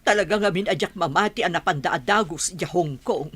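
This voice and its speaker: A woman's voice: fairly low pitch, brisk, a bit bright, breathy edge. A woman playing an anxious, hesitant married mother in a comedy radio drama. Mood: worried.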